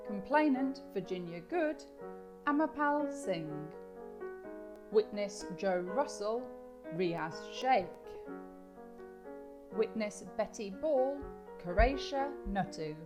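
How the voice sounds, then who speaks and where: A woman announcer reading scripted credits for a radio drama. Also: medium pitch. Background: piano music.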